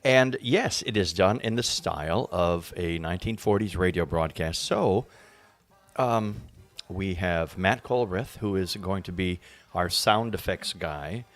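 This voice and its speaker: A man's voice: deep voice, measured pace. A man speaking as an actor guest in a casual podcast interview. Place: indoors at a small theatre.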